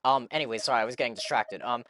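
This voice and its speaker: A man's voice: medium pitch, racing, a bit bright, a little hiss or hum. A man streaming a video game, giving casual live reaction commentary, with game music behind him.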